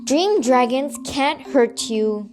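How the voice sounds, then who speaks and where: A woman reading as a calm reassuring dad. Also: sits high, slow pace. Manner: soothing, reassuring. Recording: xylophone music playing behind.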